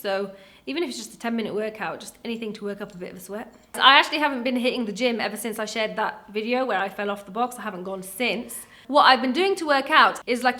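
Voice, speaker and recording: A young woman from Wales, chatting casually through a question and answer vlog. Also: a little high. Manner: chatty, animated, acts out voices in her stories. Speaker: vlogger. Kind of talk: casual Q&A chat.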